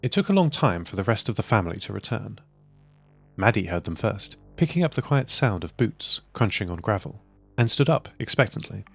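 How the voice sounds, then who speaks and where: A man narrating an audio drama in a calm, measured way. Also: fairly low pitch, gravelly, breathy edge, soft background music.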